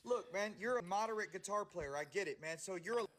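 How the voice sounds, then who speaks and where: A man's voice: high-pitched, expressive, fairly quick, fairly bright tone. A man in a confrontational video rant, talking down to his critics and calling them homie. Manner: condescending, calls listener homie.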